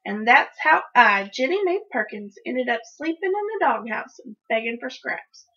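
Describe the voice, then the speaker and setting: Woman, storybook reader, expressive narration, higher pitch, bright forceful tone. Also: moderate pace, breathy edge, lo-fi sound. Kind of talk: expressive first-person children's story narration.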